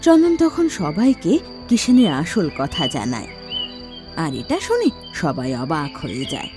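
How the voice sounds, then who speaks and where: A woman's voice: sits low, huge pitch swings, a little slow, over music. A woman giving scripted narration for a cartoon story.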